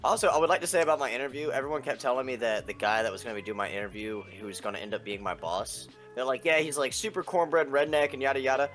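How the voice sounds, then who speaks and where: Fast-talking Southern-drawling podcast guy. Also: mid-range voice, a bit bright, faint music behind. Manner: quick, jokey interjections. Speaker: podcast co-host. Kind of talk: casual chat.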